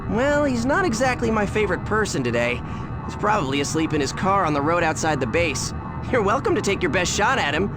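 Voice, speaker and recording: Casting: wisecracking teenage boy hero for game cutscenes, high-pitched, confident, casual, over heavy music. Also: very animated, fairly quick. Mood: cocky, defiant. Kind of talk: video game cutscene voice acting.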